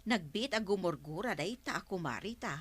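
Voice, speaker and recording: Lively, swooping Ilocano village gossip. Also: female voice, medium pitch, normal speed, slightly rough. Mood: gossipy. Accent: Filipino Ilocano. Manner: questioning, reactive. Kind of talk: radio comedy drama acting.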